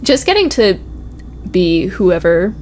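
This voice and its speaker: A young American woman talking informally as a podcast guest, agreeable and quick to affirm. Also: lowish voice, sing-song, a little mellow. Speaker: podcast guest, college administrator. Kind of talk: casual conversational chat. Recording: clearly noisy room ambience.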